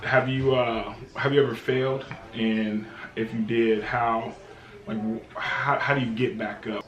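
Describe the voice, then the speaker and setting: Man in his thirties, American accent, medium pitch, informal series host, motivational interviewing, echoing room. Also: fairly even intonation, unhurried, fairly bright tone, highs cut off. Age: 30s. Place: indoors in a house. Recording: echoey room with background noise.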